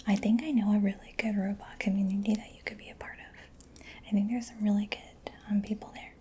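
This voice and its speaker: Soft whispering woman, very even pitch. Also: a little fast. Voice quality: soft, breathy, mostly whispered. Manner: gentle, personal-attention murmuring. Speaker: ASMR performer. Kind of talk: soft-spoken ASMR mechanic roleplay. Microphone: close-up ASMR microphone. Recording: close-miked with clear background noise.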